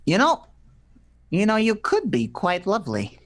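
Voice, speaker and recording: A man's voice: high-pitched, huge pitch swings, unhurried, exaggerated voice. A man playing a flustered romantic on the run in an old-fashioned radio play, stammering and pleading. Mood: awkward, curious.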